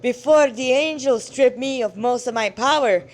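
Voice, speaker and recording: A woman doing a gruff skeletal god with a wobbly Irish lilt. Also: a little high, moderate pace, pitched above the performer's own voice, close to an everyday voice. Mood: grumbling. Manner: attempted Irish accent, wobbly and inconsistent. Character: skeletal old male god, gruff and proud. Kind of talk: reading a story aloud.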